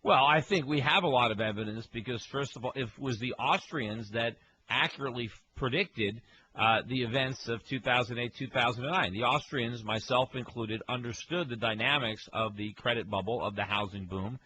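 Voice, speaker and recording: Radio host guy, bright edgy voice, chatty and quick, lots of uh's, faint hiss behind. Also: medium pitch, low-quality recording. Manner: quick, chatty, frequent fillers and repeats. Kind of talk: casual call-in talk radio. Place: home studio.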